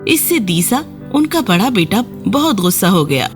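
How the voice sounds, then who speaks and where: A woman tells a children's fairy tale as a scripted narration. Her pitch moves in a lively, animated way, and music plays behind her. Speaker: storyteller.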